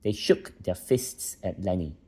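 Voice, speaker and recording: A man's voice: low voice, expressive, a little slow, darkish tone, husky. A man reading a children's bedtime story aloud as a narrator.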